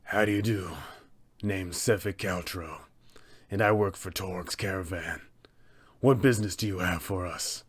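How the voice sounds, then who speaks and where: The hushed, husky stranger at a frozen caravan in a fantasy tabletop game, a cold undead killer speaking low and slowly, acted out by a man. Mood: coolly polite. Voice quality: low, breathy. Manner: slow, hushed, measured threats. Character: cold, calm, menacing male undead killer posing as trader. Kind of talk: villain monologue for a tabletop roleplaying game.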